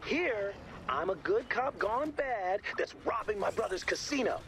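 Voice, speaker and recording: High-voiced, wry crewman. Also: lively intonation, measured pace, gravelly, background noise, heavily acted. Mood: doubtful. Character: wry, doubtful male crew member and actor. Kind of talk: video game character voice acting.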